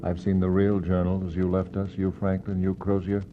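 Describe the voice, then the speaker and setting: An actor playing a brooding, mournful explorer, speaking poetic lines gravely and rhetorically in a 1960s radio verse drama. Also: male voice, low voice, completely flat delivery, normal speed, noisy background, close to an everyday voice. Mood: mournful, questioning. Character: brooding male Arctic explorer, reflective and mournful. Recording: muffled 1960s radio recording.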